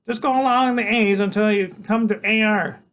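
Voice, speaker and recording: A man voicing a small, bossy genius boy who is always full of energy and speaks in lofty pronouncements. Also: normal speed. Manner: high, excitable, lofty pronouncements. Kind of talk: audiobook character voice.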